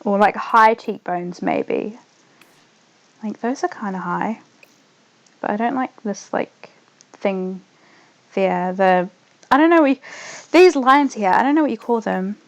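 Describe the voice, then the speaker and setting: A young woman with a New Zealand accent tinged slightly Australian, chatting loosely as a streamer while she plays, trailing off quietly as she concentrates. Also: mid-range voice, animated, slow pace, long pauses, lo-fi sound. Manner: rambling, trails off softly when focused on the game. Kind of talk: casual gaming livestream chat.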